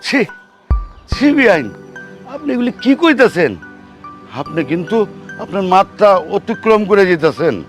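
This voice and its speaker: Aggrieved older village father, high-pitched. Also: sing-song, normal speed, pauses often, soft background music. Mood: hurt, indignant. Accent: rural Bangladeshi. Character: humble, indignant village father of a married daughter. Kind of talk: melodramatic Bangla TV drama acting.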